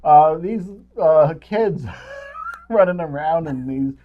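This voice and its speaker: A man's voice: fairly high pitch, takes it slowly, a little hiss or hum. A man co-hosting a local cable show with casual, wry, joking grumbles, in slightly dull lo-fi sound.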